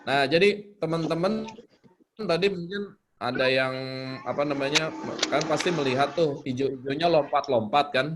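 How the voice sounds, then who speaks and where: A man's voice: fairly high pitch, normal speed. A man talking casually in an online workshop, explaining informally as a storyteller giving tips. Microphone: video call. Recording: noisy online video call.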